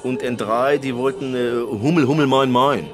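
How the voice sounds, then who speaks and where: A man's voice: medium pitch, steady tone, normal speed, close to an everyday voice. A man playing a vain, cynical TV entertainer, music behind. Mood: cynical, dismissive. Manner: showy on air, dismissive and coarse otherwise. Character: cynical, vain, foul-mouthed TV show entertainer. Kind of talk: radio comedy interview sketch.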